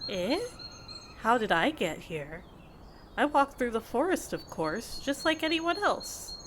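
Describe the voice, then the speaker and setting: A woman playing a possessive, condescending fantasy healer in an ASMR audio roleplay, with a measured, intimate, teasing and reproachful delivery. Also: medium pitch, background noise, natural voice. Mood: reproachful, haughty. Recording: insect and bird ambience behind voice. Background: outdoor nature ambience with crickets and birds.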